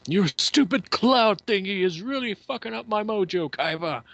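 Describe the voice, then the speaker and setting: Goofy excitable cartoon parody voice, done by a man, pushed high and swooping, dull dry recording. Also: normal speed, vocal fry, no room sound, full character voice. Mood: irritated, mocking. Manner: mocking, exaggerated dub parody. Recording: dry, dull lo-fi home mic.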